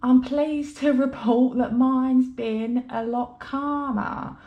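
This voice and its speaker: A woman's voice: high voice, steady tone, slow, lo-fi sound. A British woman with a blocked-up nose from a head cold, chatting casually as she counts cash for her budget vlog. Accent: British English. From United Kingdom. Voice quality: chatty, rambling, apologetic. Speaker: budgeting vlogger.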